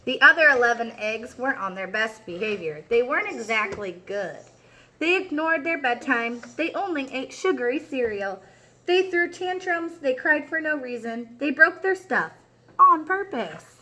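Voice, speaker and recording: A woman voicing an earnest, eager-to-please egg in an expressive picture-book read-aloud for young children. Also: high voice, normal speed, a little hiss or hum. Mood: exasperated, weary.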